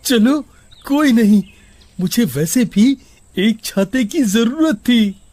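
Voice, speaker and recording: A man's voice: extremely high pitch, animated, takes it slowly, a little mellow, dry recording, heavily acted. A man voicing an anxious, pleading young schoolboy in a storybook horror tale, with an earnest delivery. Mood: surprised. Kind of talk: animated story dubbing in Hindi.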